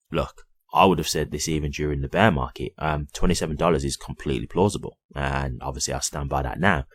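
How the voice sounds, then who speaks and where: Really deep voiced guy doing casual youtuber commentary, off the cuff, dry close sound. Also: dry recording. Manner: conversational, repeatedly checks in with "right?". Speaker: crypto YouTuber. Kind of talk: casual unscripted commentary to viewers.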